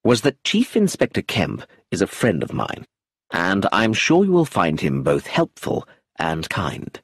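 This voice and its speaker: A man voicing a reserved older colonel who speaks in a low, gentle, measured way. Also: huge pitch swings, husky, no echo, clean studio sound, heavily acted.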